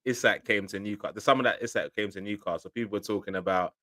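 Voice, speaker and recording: A man with a Nigerian accent co-hosting a football podcast, stop-start and hesitant, his loudness rising and dropping. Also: lowish voice, steady tone, quick pace, no room sound, studio quality. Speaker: podcast co-host. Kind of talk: casual football chat.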